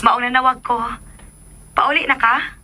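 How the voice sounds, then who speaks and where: A woman's voice: high-pitched, steady tone, measured pace, sharp tone, full character voice. A woman with a Filipino accent playing a sweet, bubbly, lovestruck young daughter in a radio drama, affectionate and teasing. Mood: cheerful, affectionate. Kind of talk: Philippine radio drama acting.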